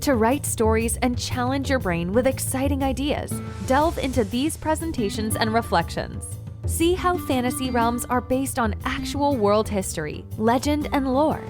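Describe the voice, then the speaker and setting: A woman's voice: high voice, expressive, normal speed. A woman reading a scripted promotional introduction for a podcast as its announcer. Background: music.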